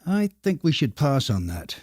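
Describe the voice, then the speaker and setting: A man with a mellow, medium-pitched voice discusses things on a podcast. He is measured and deadpan, with slightly formal phrasing. The recording is very dry. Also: dry recording. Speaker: podcast co-host.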